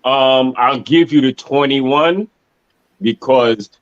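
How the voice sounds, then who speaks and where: A man on a comedy panel, loud and laughing, telling a story. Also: medium pitch, slow pace, muffled recording. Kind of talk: casual chat.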